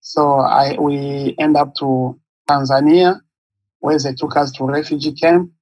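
Husky-voiced man from Congo telling his story. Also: on the high side, flat delivery, darkish tone, no echo, poor audio. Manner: halting, searching for words, non-native English phrasing. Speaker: guest, nonprofit founder. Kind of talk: interview, personal story.

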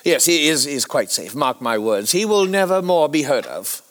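A man playing an anguished, evasive Victorian doctor in a period drama, halting and fervent in his speech. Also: on the high side, big pitch swings, fairly bright tone. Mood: desperate insistence. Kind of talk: period audio drama acting.

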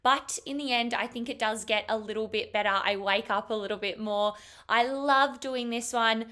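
An Australian woman hosting a health podcast, enthusiastic and gushing, her voice a bit croaky from an early start. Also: fairly high pitch, normal speed, sharp tone. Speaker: podcast host, health teacher. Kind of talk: upbeat podcast interview.

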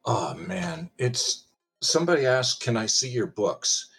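A man's voice: mid-range voice, big pitch swings, a little slow, vocal fry, fairly bright tone, slightly rough, soft background music. An older man in casual chat as a guest on a show, rambling and self-deprecating with a wry sense of humor, speaking over a remote call. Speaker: pitching guest on a game panel show.

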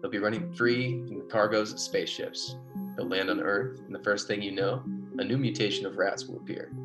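Male actor as expedition biologist, vintage radio sci-fi. Low register, explanatory and insistent, music underneath. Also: brisk, natural voice. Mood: grave. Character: earnest male expedition biologist, recording clipped field reports. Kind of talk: classic radio science fiction drama.

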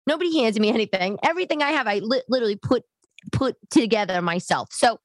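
A woman in her late thirties hosting a podcast, talking casually and bluntly to her listeners in an animated, conversational way. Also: a little high, fast talker, no echo. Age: late 30s. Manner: animated, conversational, acts out others' remarks. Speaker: podcast host. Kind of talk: casual, chatty monologue to listeners.

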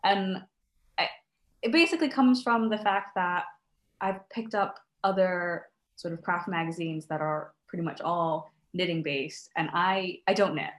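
A woman's voice: on the low side, measured pace, roomy sound. A young American woman chatting as a podcast guest, friendly and talkative, with frequent ums and little laughs. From United States. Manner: chatty, laughs often, many ums and restarts. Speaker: podcast guest, crochet designer. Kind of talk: casual interview chat.

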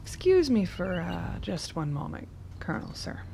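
A woman playing a nervous, polite young woman in a radio drama, soft-spoken, hesitant and breathy. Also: on the low side, sing-song, moderate pace, a little hiss or hum. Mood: timid, apologetic.

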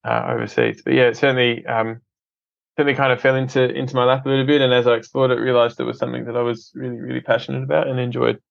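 A man's voice: medium pitch, little pitch movement, very fast, gravelly, no echo, highs cut off. An Australian man from Adelaide, a podcast guest speaking earnestly in casual interview talk, often repeating words as he restarts. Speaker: podcast guest, film company head.

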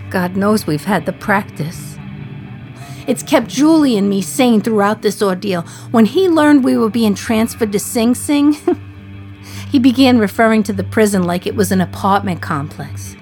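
A woman's voice: fairly high pitch, expressive, measured pace, darkish tone. Wry, wistful condemned mother over music. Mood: reflective, wry. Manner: wry, confiding, sardonic gallows humour. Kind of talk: dramatic radio play monologue.

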